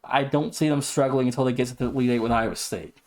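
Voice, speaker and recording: A man's voice: mid-range voice, fast, a little mellow. A man co-hosting a sports podcast, commenting casually with an announcer-like, emphatic way of repeating himself. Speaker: podcast co-host.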